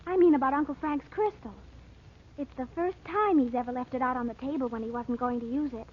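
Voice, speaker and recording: High-pitched, earnest American teenage girl. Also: brisk, slightly rough. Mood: hesitant, curious. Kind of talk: 1940s radio drama acting. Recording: muffled old radio drama recording.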